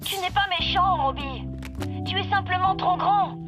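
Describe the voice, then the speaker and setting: An actor playing a kind, earnest, worried young boy in a French cartoon dub, gentle and reassuring. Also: very high voice, moderate pace, highs cut off. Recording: music under the voice.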